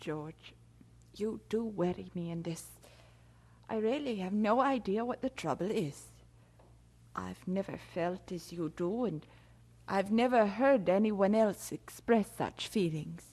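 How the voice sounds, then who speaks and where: An actress playing a fond, worrying middle-aged mother in an audio drama, speaking tenderly and deliberately. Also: medium pitch, darkish tone, slightly rough. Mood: tender, worried.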